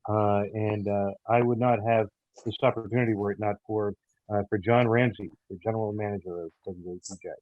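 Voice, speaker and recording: A man answering as an interview guest, haltingly, often repeating words as he searches for phrasing. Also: on the low side, steady tone, no room sound. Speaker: audio drama writer, interview guest. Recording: tinny phone-like call audio.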